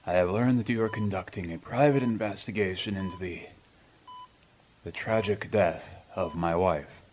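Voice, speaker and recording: A man playing an ailing, proud old politician in a radio drama, speaking in formal, pompous, measured phrases. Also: low voice, a little mellow, lightly acted. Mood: stiff, aggrieved.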